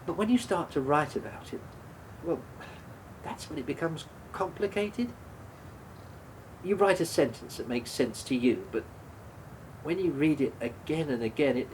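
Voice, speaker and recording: A man's voice: a little high, lively intonation, unhurried, long pauses, darkish tone, slight background noise, poor audio. An actor in a radio play voicing a guilt-ridden, apologetic man who stammers and hesitates as he speaks. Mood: regretful, confessional.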